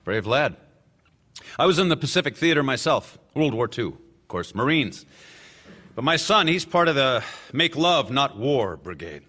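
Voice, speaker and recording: A middle-aged lawyer in a radio drama. He has a mid-pitched, bright, forceful voice and a wry, sardonic, argumentative manner. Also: sing-song, moderate pace. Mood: chatty, wry. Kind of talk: naturalistic radio drama acting.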